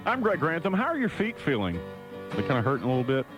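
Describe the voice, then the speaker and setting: A man's voice: on the high side, huge pitch swings, quick pace. A man hosting a music radio show, delivering upbeat, joking DJ patter into a close studio mic. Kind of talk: hot adult contemporary radio DJ patter over music. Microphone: close broadcast studio mic. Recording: loud music bed behind the voice.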